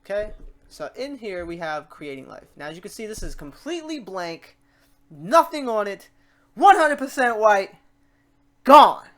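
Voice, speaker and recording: An informal tutorial host with a middling pitch walks viewers through software step by step. The delivery is animated and slangy, often slipping into acted-out questions and exclamations from a learner. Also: unhurried.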